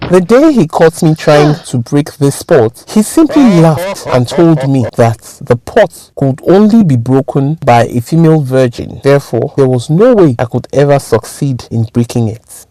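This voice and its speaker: A woman's voice: deep voice, animated, measured pace, hardly any pauses, warm tone, low-quality recording. A woman voicing a solemn, remorseful man who was turned into a monkey, in a spoken folktale. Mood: urgent. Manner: solemn, earnest pleading.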